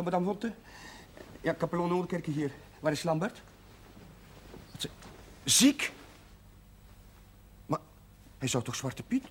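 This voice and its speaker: A fussy village chaplain with a high voice, easily exasperated and tripping into repetitions. The old television drama soundtrack is clearly noisy. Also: male voice. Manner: agitated, hesitant, repeating himself. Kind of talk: television period drama acting.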